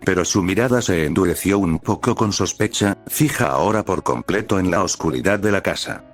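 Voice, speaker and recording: Deep-voiced man narrating a story over music. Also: a little fast. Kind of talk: scripted audiobook-style fan fiction narration. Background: background music.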